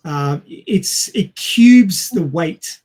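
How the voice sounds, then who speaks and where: A man's voice: high voice, expressive, very slow, darkish tone. A man co-hosting a podcast, explaining science informally in a didactic manner. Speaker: podcast co-host.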